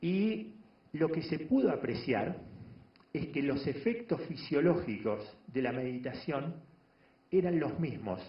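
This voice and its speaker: A man giving a measured lecture on meditation to an audience, pausing often and restarting phrases. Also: fairly high pitch, expressive. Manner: measured, explanatory, frequent pauses and restarts. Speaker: doctor giving a talk on meditation. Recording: echoey room, dull lo-fi sound.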